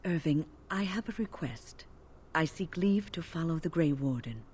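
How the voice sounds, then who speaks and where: An actress playing a calm, kindly older female healer mage with an earnest, even manner. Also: low-pitched, moderate pace, slight background noise.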